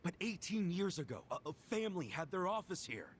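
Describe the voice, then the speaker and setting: Game dub: earnest, blunt ex-con yakuza, higher male voice, lively and out of touch. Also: fairly quick, slight background noise. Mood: insistent, flustered. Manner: blunt, informal, animated. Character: brash, earnest ex-convict yakuza man, out of touch. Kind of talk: English video game dub acting.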